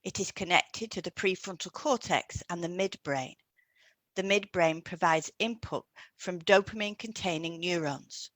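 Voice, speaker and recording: A woman co-hosting an educational podcast, reading scripted explanations in a measured way. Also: lowish voice, fairly bright tone, dry recording. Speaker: podcast co-host, hypnotherapist.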